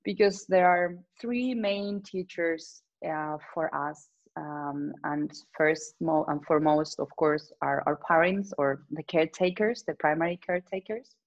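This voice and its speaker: A woman from Spain with a Spanish accent, a transformational coach co-hosting a casual conversation, reflective and quick to laugh. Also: low voice, lo-fi sound. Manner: reflective, laughs often, restarts sentences.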